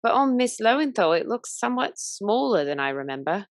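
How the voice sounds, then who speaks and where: Polite, hesitant waitress witness. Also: female voice, medium pitch, big pitch swings, brisk, no room sound. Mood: uncertain. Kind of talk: period radio play acting.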